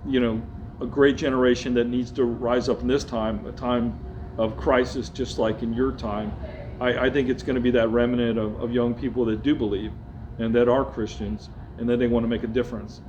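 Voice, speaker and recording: A man of middle pitch interviewing an older guest, speaking earnestly and often repeating his words as he finds them. A faint hiss runs under the recording. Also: little pitch movement, normal speed, a little mellow, lo-fi sound. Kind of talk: interview conversation.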